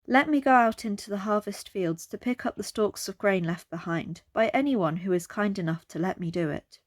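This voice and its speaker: A woman's voice: medium pitch, moderate pace, close to an everyday voice. A woman playing a humble, grateful young widow in a dramatised Bible reading. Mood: earnest request.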